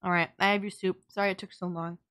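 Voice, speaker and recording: A woman's voice: lowish voice, little pitch movement, fast, fairly bright tone, clean tone, close to an everyday voice. A woman playing a sardonic yet caring female villain in an audio roleplay, blunt, teasing and exasperated. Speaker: voice actor. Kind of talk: audio roleplay drama, intimate one-sided dialogue.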